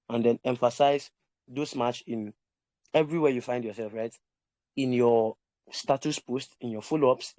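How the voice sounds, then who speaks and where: A man's voice: mid-range voice, darkish tone, no echo. Man teaching a live online class. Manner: repetitive, emphatic, checks in with listeners. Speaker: sales coach. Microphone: online call.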